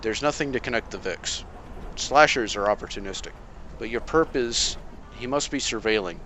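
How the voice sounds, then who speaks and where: A man playing a calm, dry vigilante detective. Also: on the low side, slight background noise. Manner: measured, analytical, dry. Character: calm brilliant masked vigilante detective, wry. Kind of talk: amateur motion comic voice acting.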